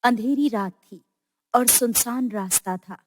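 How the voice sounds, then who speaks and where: A woman's voice: fairly high pitch, unhurried, long reverb tail. A woman narrating a scripted horror story as the storyteller.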